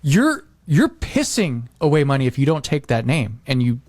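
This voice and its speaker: A man's voice: mid-range voice, sing-song, moderate pace, darkish tone, no echo. A middle-aged American man chatting casually as a podcast host, rambling and leaning on "like" as he acts out little quotes. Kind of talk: casual chat.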